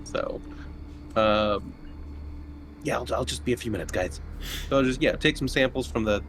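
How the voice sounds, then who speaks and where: A man's voice: mid-range voice, normal speed, a bit bright. A man playing a tabletop roleplaying game, chatting casually as he speaks for his character. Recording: noticeable background noise.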